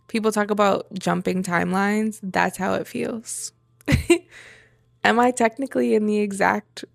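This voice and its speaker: Woman with a creaky voice, reflecting personally. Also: medium pitch, no echo. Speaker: vlogger sharing a personal journey. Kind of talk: reflective personal monologue to camera.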